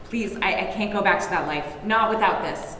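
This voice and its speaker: A woman's voice: mid-range voice, moderate pace, fairly bright tone, distant mic, lightly acted. A woman playing a desperate, tearfully pleading cult member at a screenplay table read in a noisy, echoey room.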